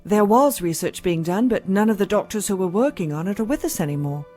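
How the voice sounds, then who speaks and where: An exhausted, compassionate doctor played by a woman in an audio drama. She speaks at an average pitch, earnest and dutiful, over quiet background music. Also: animated, a little fast, lightly acted. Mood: grave. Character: weary, dedicated, compassionate female doctor.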